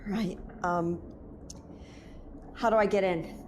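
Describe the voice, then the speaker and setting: A woman's voice: lowish voice, steady tone, slow pace, darkish tone. A woman playing a nervous, sometimes sarcastic young space explorer in an audio drama, hesitant and uneasy in her delivery. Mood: shaken.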